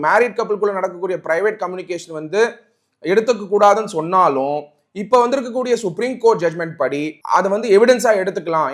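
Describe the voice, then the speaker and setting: Man, high-pitched voice, Tamil accent, legal explainer, didactic delivery, repeats key points. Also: a bit bright. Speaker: advocate presenting a legal explainer. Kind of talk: explanatory legal talk mixing Tamil and English.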